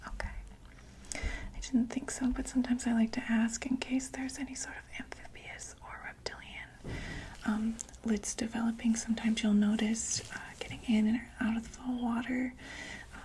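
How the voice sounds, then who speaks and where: A young woman whispering softly as a doctor in a close-miked ASMR roleplay, reassuring and full of small fillers. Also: fairly high pitch, flat delivery. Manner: soft, slow, reassuring bedside whisper with many fillers. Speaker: ASMR creator playing a monster doctor.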